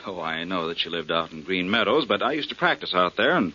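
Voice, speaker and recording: A man's voice: sits low, very animated, fast, sharp tone, slightly rough, heavily acted. A man playing a mild, hesitant dentist who explains things politely in a 1940s radio drama. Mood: earnest, hesitant. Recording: muffled 1940s radio recording.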